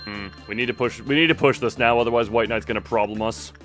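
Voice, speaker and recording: A man's voice: medium pitch, fairly even intonation, quick pace, fairly bright tone. Man, game streamer, mid-range voice, casual rambling commentary, wry asides, music playing behind. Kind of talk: casual livestream commentary over gameplay.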